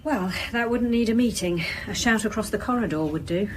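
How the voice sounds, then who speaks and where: A woman's voice: mid-range voice, brisk, natural voice, low-quality recording. A woman acting a dry, sceptical detective chief inspector with a clipped, questioning manner in a radio drama. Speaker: actor playing police detective. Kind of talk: naturalistic radio drama dialogue.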